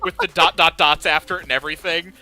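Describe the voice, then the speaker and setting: A man's voice: on the high side, fast, soft background music. A man with a Connecticut accent streaming a game with friends, joking and laughing often. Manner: excitable, laughs often, jokey. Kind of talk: casual gaming stream chat.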